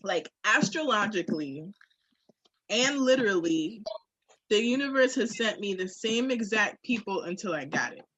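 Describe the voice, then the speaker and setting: A woman's voice: mid-range voice, expressive, normal speed, fairly bright tone, husky, dry recording, rough recording. A woman chatting candidly and casually on a podcast, emphatic and slangy with punchy phrasing.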